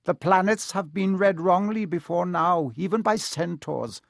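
A man's voice: high-pitched, measured pace, gravelly, no room sound, clean studio sound, voice pushed up, heavily acted. A man voicing a grave, mystical male centaur with a solemn, portentous manner in an audiobook. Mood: solemn farewell. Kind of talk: audiobook character voice.

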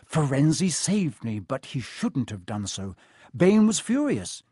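Guy reading as an anxious young wizard schoolboy, urgent and worked up, higher voice. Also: animated, normal speed, slightly rough, dry recording, clean studio sound. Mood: feverish, agitated. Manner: urgent, feverish. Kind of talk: audiobook character voice.

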